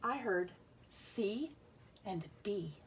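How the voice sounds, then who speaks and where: A woman's voice: medium pitch, animated, extremely slow, slight background noise. A woman teacher reading a picture book aloud, explaining things simply and putting questions to young children. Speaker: schoolteacher reading aloud to pupils.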